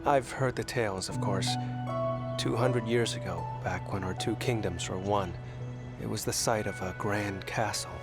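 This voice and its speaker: Polite young ranger over loud music. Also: deep voice, normal speed. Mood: concerned. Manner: courteous, sincere, slightly formal. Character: polite, earnest, modest young ranger of a kingdom. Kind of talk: video game cutscene acting.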